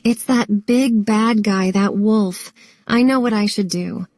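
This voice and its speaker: A woman's voice: medium pitch, slow pace, darkish tone, no echo. A woman voicing a proud, resourceful young pig in a children's story, eager and plain-spoken. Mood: resolute.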